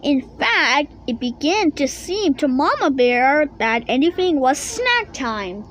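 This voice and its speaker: Woman, high voice, slow halting read-aloud, children's storybook, occasional stumbles. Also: sing-song, a bit bright, slight background noise. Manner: halting reading with stumbles and misread words. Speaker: storybook reader.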